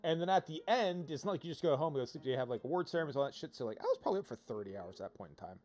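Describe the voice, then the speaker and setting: Man, fairly high voice, casual livestream chatter, animated and digressive, game music behind. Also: very fast. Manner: animated, digressive, repeats himself for emphasis. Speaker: livestreamer playing a visual novel. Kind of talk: casual rambling game-stream commentary. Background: video game music.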